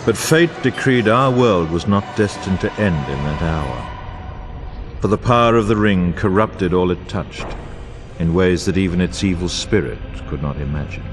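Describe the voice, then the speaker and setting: An actor playing a solemn, grave war chronicler, narrating a fantasy game in a grandiose, formal and portentous way. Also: male voice, sits low, expressive, measured pace. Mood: solemn, reverent. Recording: orchestral music bed under voice. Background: music.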